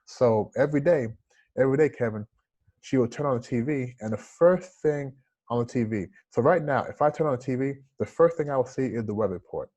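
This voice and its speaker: A man's voice: medium pitch, lively intonation, a little fast, dark tone, highs cut off. A man in his forties with a slight lisp, an interview guest telling his story in an earnest, reflective way over a video call from home. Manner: earnest, reflective, gestures with hands.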